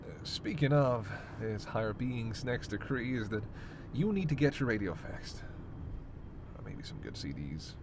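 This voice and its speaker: A man's voice: mid-range voice, big pitch swings, unhurried, background noise. A man playing a sarcastic, teasing immortal vampire passenger in a roleplay audio drama, needling and foul-mouthed with theatrical exasperation. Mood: smug, defensive.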